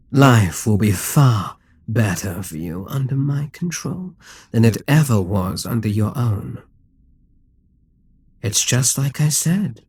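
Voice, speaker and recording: A man playing a dominant, gloating Demon King in an intimate ASMR roleplay, smug and coaxing, with a breathy, husky voice that often drops toward a whisper. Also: medium pitch, dark tone, no room sound. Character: dominant, gloating male Demon King toying with a captive hero.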